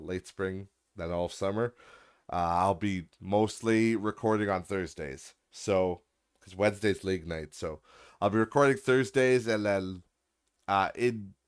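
A man's voice: fairly low pitch, clean tone. A young man with a nasal voice hosting a solo podcast, ranting with exasperation, profanity and lots of restarts. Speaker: podcast host.

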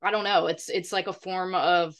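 A woman's voice: fairly low pitch, steady tone, moderate pace. A woman co-hosting a podcast, chatting casually in a blunt, informal way. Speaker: podcast co-host. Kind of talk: casual chat.

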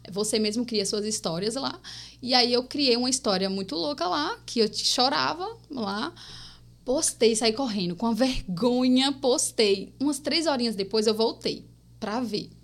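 A woman's voice: fairly high pitch, a little slow. A young woman in her twenties from Paraíba with a mixed Northeastern Brazilian accent, telling stories as a podcast guest. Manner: lively storytelling, often addressing listeners as "gente". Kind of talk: casual podcast chat.

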